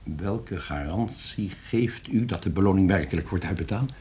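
An actor playing a wary, sardonic addict with a dry, guarded manner in an old radio play. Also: male voice, low-pitched, expressive, moderate pace, warm tone, slight background noise. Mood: guarded, probing. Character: wary, sardonic morphine addict being hired to kill. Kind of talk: Dutch radio play acting.

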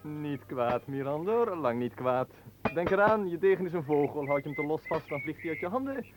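A man's voice: on the high side, lively intonation, a little fast, background noise, lightly acted. An actor in a Dutch radio play playing a restless, drily ironic young master swordsman with a declamatory delivery. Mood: wry, instructive.

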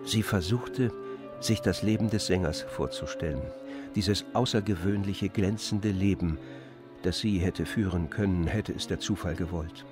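Low-voiced man narrating over music. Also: unhurried. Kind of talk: literary scripted narration for radio play. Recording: music behind the voice.

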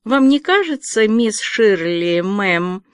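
A woman's voice: medium pitch, expressive, takes it slowly, clean tone, dry recording, studio quality, voice pushed up. A woman reading aloud voices a chatty, freckled teenage girl, eager and anxious, in breathless, earnest chatter.

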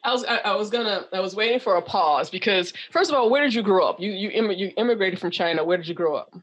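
Frank, husky-voiced woman interviewer. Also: mid-range voice, fast, dull audio. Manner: frank, swears, searches for careful wording. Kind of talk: casual interview talk.

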